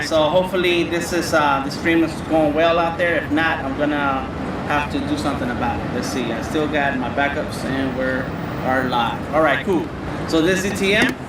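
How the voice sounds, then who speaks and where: A man's voice: on the high side, normal speed. A man hosting a casual live-stream chat, speaking in stop-start phrases with short affirmations. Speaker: podcast host and interviewer. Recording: distant mic, loud ambient noise.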